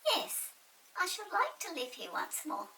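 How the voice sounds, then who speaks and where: A woman voicing a small, lively, chirpy pixie in an excitable storybook reading. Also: extremely high pitch, measured pace, creaky, voice pushed up, exaggerated voice. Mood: reassuring, cheerful. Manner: emphatic, excitable. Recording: distant mic with hiss.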